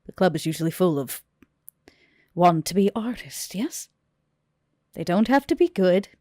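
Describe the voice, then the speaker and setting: Woman playing a cool, low-voiced female vampire boss. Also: no echo, studio quality. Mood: cool, probing. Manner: measured, deliberate, deadpan. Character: stern, businesslike female vampire club boss.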